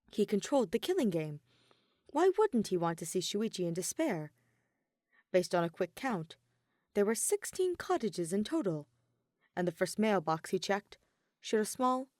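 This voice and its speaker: A woman's voice: medium pitch, darkish tone, dry recording, studio quality. A woman reading scripted fan fiction as its narrator.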